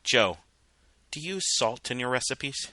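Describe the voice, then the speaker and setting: A man acts out an earnest young filly from a storybook, a student of magic. The voice is lifted well above a man's usual talk and bright. It is gentle and sincere in manner, recorded dry. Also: medium pitch, animated, measured pace, dry recording, pitched above the performer's own voice. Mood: curious. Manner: soft-spoken, earnest.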